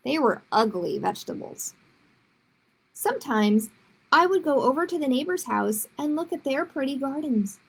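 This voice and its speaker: A woman's voice: high-pitched, sing-song, normal speed, gravelly, low-quality recording. A woman reading a children's story aloud expressively, with short explanatory asides to young listeners.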